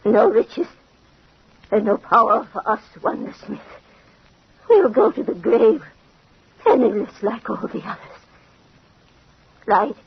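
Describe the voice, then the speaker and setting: Dying fortune teller woman, very husky, slow halting gasps, bitter, swooping pitch, old radio drama. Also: sing-song, full character voice. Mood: agonized, despairing. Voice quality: husky, gasping. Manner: halting, theatrical, moaning. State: weak and in pain. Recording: muffled old radio recording.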